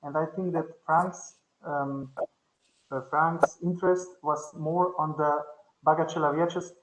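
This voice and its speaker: A man whose first language is Slovenian, giving a halting academic comment at a conference, with many restarts. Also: on the high side, fairly even intonation, roomy sound, a little hiss or hum, poor audio. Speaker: conference panelist. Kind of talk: academic discussion. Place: conference session.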